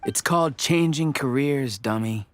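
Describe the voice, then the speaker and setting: Man playing a good-natured soldier, medium pitch. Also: unhurried, fairly bright tone, close to an everyday voice. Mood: teasing. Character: good-natured, teasing male war veteran soldier. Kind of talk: video game voice acting.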